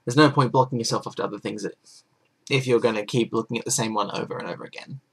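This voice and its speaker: A man's voice: medium pitch, fairly even intonation, quick pace, husky. A young man on a webcam in his bedroom, explaining his tastes in an earnest, reflective way. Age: young adult. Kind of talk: casual webcam interview answer. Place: bedroom indoors.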